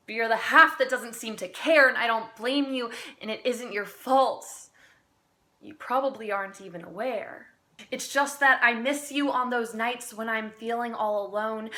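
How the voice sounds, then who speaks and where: A woman with a fairly high voice performs slam poetry. She delivers it earnestly, shaping each phrase deliberately and leaving dramatic pauses between them. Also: bright, forceful tone. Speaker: amateur slam poet. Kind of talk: spoken-word slam poetry recital.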